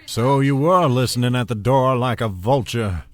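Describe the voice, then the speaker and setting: A man's voice: medium pitch, moderate pace, natural voice. An actor playing a scheming, domineering father in an audio drama, controlled and condescending. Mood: cold, menacing.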